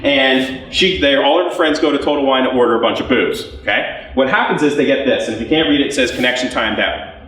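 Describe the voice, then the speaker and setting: A man's voice: medium pitch, fairly quick, fairly bright tone, distant mic. A man with a Canadian accent giving a conversational, jokey live sales presentation to an audience, often tagging points with "okay?". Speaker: business development executive presenting. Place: event room with a live audience. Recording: echoey room.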